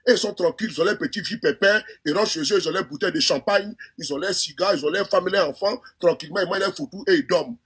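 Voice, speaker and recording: A man's voice: high voice, fast talker, hardly any pauses, a bit bright, breathy edge. A man with an Ivorian French accent giving impassioned political commentary, fervent and repetitive, full of restarts. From Côte d'Ivoire.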